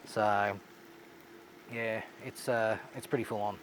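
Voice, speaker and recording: A man with an Australian accent vlogging casually at an outdoor bush campsite while he cooks, rambling and relaxed, a little snuffly from a blocked nose. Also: on the low side, slow, a little hiss or hum, rough recording. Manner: relaxed, rambling, laid-back slang. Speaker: overlanding vlogger. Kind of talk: casual outdoor vlog narration while cooking.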